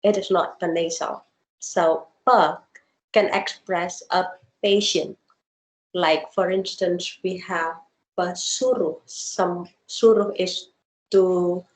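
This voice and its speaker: Slow, careful woman in an echoey room. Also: on the low side. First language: Indonesian. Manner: thoughtful, explains with examples, many ums. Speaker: researcher presenting her dissertation. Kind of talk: academic Q&A on a video call. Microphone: video call.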